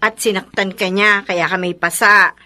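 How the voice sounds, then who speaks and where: An actress playing an outspoken, exasperated, concerned friend in a radio drama, with a Filipino accent. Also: female voice, medium pitch, steady tone, moderate pace, bright, forceful tone.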